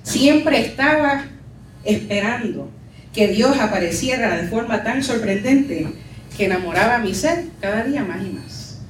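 A woman pastor from Puerto Rico, raised in New York, with a Nuyorican Spanish accent, giving an earnest and measured conference talk. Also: fairly high pitch, lively intonation, slight background noise. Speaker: pastor and lecturer. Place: conference hall. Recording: echoey hall, distant mic, faint music.

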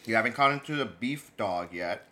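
Young New guy, low voice, animated food-podcast co-host who laughs a lot. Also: normal speed. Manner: animated storyteller, laughs often, gets loud when excited. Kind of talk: casual chat.

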